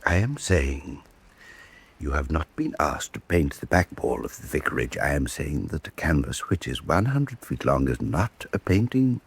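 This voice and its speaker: An actor playing a vain, imperious Venetian statesman in a radio drama, suave and pompous, with silky menace and a husky voice. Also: very deep voice, big pitch swings, heavily acted. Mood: veiled menace. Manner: suave, pompous, deliberate rhetorical repetition. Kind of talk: full-cast radio drama acting.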